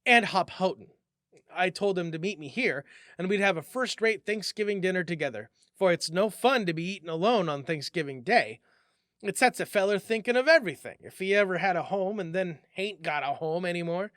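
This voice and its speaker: A man's voice: high-pitched, lively intonation, a little fast, a bit bright, dry recording, clean studio sound. A man voicing an eager, generous young newsboy in a storybook, with folksy, slangy street talk. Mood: chatty. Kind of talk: storybook reading.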